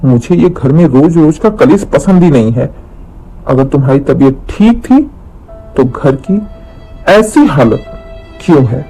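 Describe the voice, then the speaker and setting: Exasperated cartoon husband, played by a man: young, weary, pleading, high-pitched for a man, very expressive. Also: measured pace, long pauses, slightly rough, exaggerated voice, poor audio. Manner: pleading, irritated questioning. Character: tired, exasperated young husband caught in household quarrels. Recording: music under the voice.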